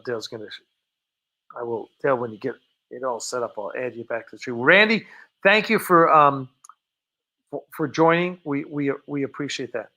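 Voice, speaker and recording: A man's voice: fairly high pitch, sharp tone. A man hosting a fan livestream, chatting casually and answering viewer questions in an informal, rambling way. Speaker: host of a fan film livestream.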